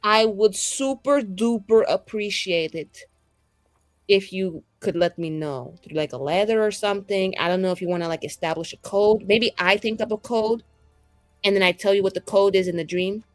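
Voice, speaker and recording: A woman's voice: mid-range voice, moderate pace, dry recording, soft background music, close to an everyday voice. A woman playing a brash, affectionate, sweary heroine in a fantasy tabletop game, chatty and rambling. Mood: coaxing.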